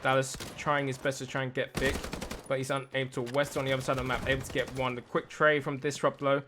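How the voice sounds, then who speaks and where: A man commentating a competitive shooter match play by play, tired and a little raspy late at night. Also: mid-range voice, little pitch movement, fast, background noise. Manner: self-deprecating, talks through tech trouble. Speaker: esports caster.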